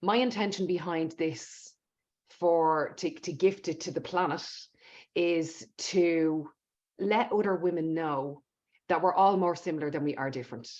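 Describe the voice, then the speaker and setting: A woman's voice: deep voice, measured pace, a little mellow. A woman hosting a podcast interview in an emphatic, conversational way, often repeating words for stress. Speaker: podcast host. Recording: dull lo-fi audio.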